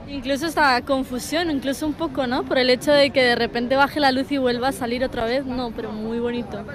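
A woman's voice: sits high, steady tone, brisk. A woman interviewed outdoors as a spectator, chatting spontaneously. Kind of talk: spontaneous vox pop. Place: outdoors at a public gathering.

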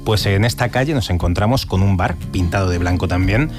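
A man co-hosting a radio show, telling the story slowly and deliberately. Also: low voice, music in the background. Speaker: radio co-host. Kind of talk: scripted narration and chat. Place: radio studio.

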